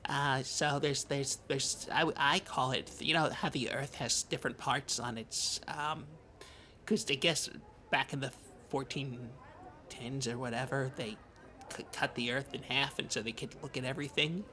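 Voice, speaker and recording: Someone playing an insecure oddball comedy podcast sidekick, halting and rambly, bright voice, some noise behind. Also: medium pitch, steady tone. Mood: enthusiastic. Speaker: podcast co-host. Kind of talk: improvised comedy podcast banter.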